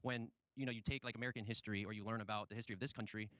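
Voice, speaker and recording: Fast rambling man in a debate. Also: lowish voice, steady tone, a bit bright, dry recording. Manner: rambling, full of fillers and restarts. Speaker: debate participant. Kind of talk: group debate.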